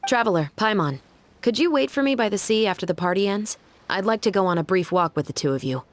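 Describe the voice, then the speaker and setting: A woman's voice: mid-range voice, animated, fast talker, a little hiss or hum, lightly acted. A woman playing a composed, serious, thoughtful young female officer in an anime game. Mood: reflective, polite.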